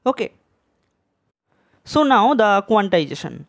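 A woman's voice: medium pitch, sing-song, slow pace. A woman presenting a tutorial in a scripted, educational narration style.

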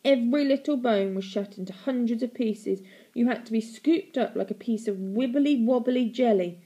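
A woman's voice: on the high side, moderate pace, dark tone, pitched above the performer's own voice, natural voice. A woman voicing a cruel, mocking storybook aunt, sickly-sweet and theatrical, slipping into baby talk. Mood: gloating.

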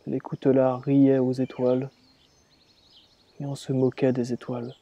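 A man reciting a dramatic monologue as a dying rebel slave, in grave, deliberate, measured phrases. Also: mid-range voice, monotone, highs cut off. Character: dying rebel slave reliving his revolt, grave and defiant. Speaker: reader performing a dramatic monologue. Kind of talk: slow literary dramatic recitation.

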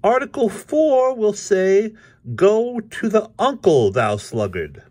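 A man's voice: sits high, big pitch swings, slow pace, a little mellow, pitched above the performer's own voice, exaggerated voice. A man voicing a naive, cheerful, questioning cartoon cat in a comic strip read aloud. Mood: eager.

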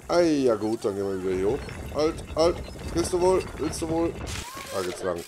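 A man's voice: medium pitch, very animated, normal speed, background noise. A man giving casual let's play commentary, muttering to himself with playful asides. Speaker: gaming YouTuber, let's player. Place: voice-over recorded over gameplay. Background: game music.

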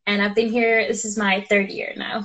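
Casting: young woman, English, warm and light, guest on a phone video call. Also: medium pitch, little pitch movement, moderate pace, poor audio. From Honduras. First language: Spanish. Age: young adult. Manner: warm, laughs lightly between phrases. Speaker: guest, law student. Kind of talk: live video chat interview. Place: indoor room. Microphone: phone front camera.

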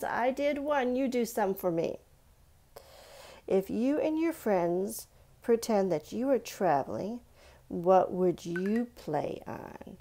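A woman's voice: mid-range voice, dark tone. A woman giving a step-by-step children's drawing lesson, asking simple questions and encouraging young viewers directly. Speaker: children's art teacher. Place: indoors at a stone countertop.

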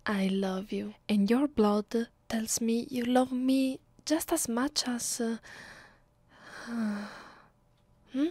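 A woman acts out an affectionate vampire girlfriend for an ASMR roleplay, with an Italian accent. Her voice is slightly high and soft, and she takes her time over each phrase, intimate and hesitant, with a playful, clingy streak. The sound is very dry, with no room to it. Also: fairly even intonation, takes it slowly, pauses often, no room sound. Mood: loving, content. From Italy. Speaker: voice actress.

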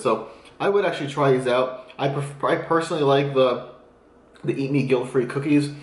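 A man's voice: medium pitch, reverberant. A man in his twenties vlogging his meals at home, explaining his diet tips in a casual, upbeat way while eating on camera. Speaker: fitness vlogger. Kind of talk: casual food vlog. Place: small room at home, then home kitchen.

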